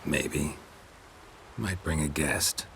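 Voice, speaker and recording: An actor playing a gruff, terse monster hunter with a gravelly growl and dry, deadpan delivery in a video game. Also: male voice, very deep voice, extremely slow, slight background noise, full character voice. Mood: wry.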